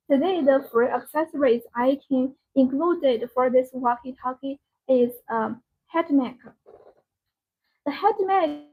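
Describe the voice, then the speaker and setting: A woman hosting a live product demonstration, speaking in halting, repetitive, non-native phrasing. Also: high-pitched. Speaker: live-stream sales host demonstrating a product. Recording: dull, band-limited live-stream audio.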